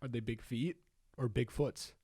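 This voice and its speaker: A young man co-hosts a podcast in loose, joking banter, laughing often and throwing in "yo" and "dude." His voice sits at a middle pitch. The sound is dry and close, with no room echo. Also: steady tone, normal speed, warm tone, slightly rough. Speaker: podcast co-host.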